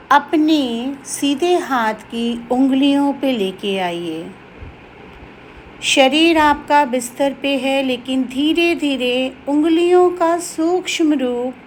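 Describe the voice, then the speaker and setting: Slow, higher-pitched Indian woman guiding meditation. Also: a little hiss or hum, poor audio. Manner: slow, soothing, instructional, with long pauses. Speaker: meditation guide. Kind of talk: guided meditation in Hindi with some English phrases.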